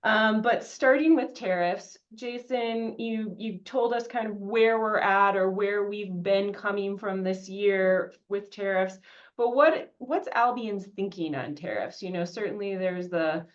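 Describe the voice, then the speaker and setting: A woman's voice: medium pitch, a little slow. A woman moderating a financial conference call, putting questions to panelists in a conversational way. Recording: slightly dull conference call audio.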